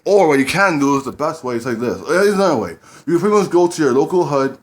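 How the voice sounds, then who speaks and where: A man's voice: on the high side, lively intonation, quick pace. A man giving dating advice to camera in a rambling casual way, often repeating himself and using blunt street slang.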